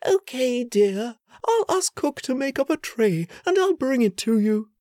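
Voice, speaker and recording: Woman reading as a fussing, tender queen mother, pitched well up and a touch loud. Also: very animated, unhurried, no room sound, clean studio sound. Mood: fretting. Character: fretful, doting queen mother.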